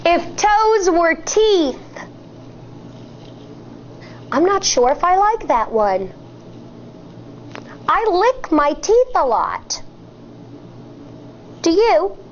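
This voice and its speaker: High, animated American woman reading to children. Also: dull audio, a little hiss or hum. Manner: expressive, deliberate, drawn-out reading. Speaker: elementary school teacher. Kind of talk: children's picture book read-aloud with questions to listeners.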